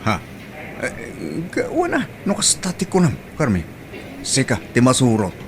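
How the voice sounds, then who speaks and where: A man's voice: mid-range voice, wildly swooping pitch, measured pace. Young male suitor, acted by a man, Ilocano accent, swinging intonation, earnest and eager, comedy drama, faint noise. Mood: earnest, insistent.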